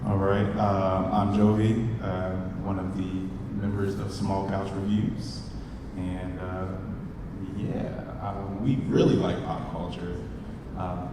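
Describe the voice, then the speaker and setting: A man's voice: sits low, flat delivery, slow pace. A man in his thirties chatting casually as co-host of a convention panel. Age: 30s. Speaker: panel co-host, movie reviewer. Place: convention panel room with audience, indoors. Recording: echoey hall from far off, noisy.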